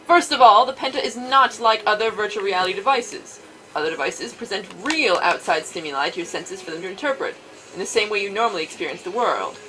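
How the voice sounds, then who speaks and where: A woman's voice: mid-range voice, animated, brisk, sharp tone, low-quality recording. A woman voicing a stern lead developer giving formal, insistent instructions in a visual novel read-aloud. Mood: formal briefing. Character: stern lead developer briefing virtual reality testers. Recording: faint background noise.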